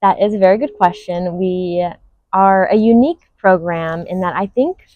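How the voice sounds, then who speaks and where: A woman's voice: fairly low pitch, moderate pace, no echo, narrow, tinny audio. A young woman from Hawaii, a veterinary student, speaking fluently as an interview guest. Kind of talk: unscripted interview.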